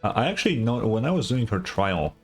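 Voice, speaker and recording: A man's voice: fairly low pitch, big pitch swings, a little fast, slightly rough. A young man giving a casual live reaction as a gaming streamer. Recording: game music behind the voice. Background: video game music.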